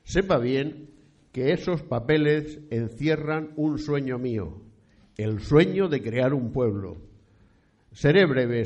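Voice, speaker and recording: An actor playing a pious, stately eighteenth-century Spanish landowner in a radio play, speaking in a solemn, formal and courteous old-fashioned way. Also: male voice, mid-range voice, a little mellow. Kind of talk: scripted historical radio play. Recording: dull, muffled lo-fi recording.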